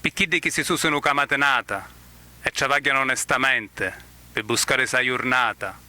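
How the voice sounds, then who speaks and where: A man with a Sicilian accent reciting poetry in a declamatory manner, in measured phrases with pauses. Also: medium pitch, steady tone, pushed and bright. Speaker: poet.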